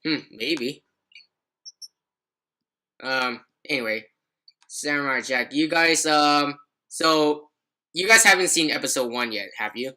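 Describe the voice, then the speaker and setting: Bright-voiced man chatting on a podcast. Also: on the high side, animated, slow pace, long pauses. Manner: rambling, leans heavily on "like". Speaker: podcast host. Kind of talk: casual chat.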